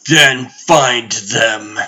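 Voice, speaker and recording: A man acts out an imperious god-emperor for a comic dub. His delivery is extremely slow and grave, with a forceful, sharp edge. He commands like a stern, brooding father. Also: mid-range voice, slightly rough, exaggerated voice. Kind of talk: dramatic comic dub acting.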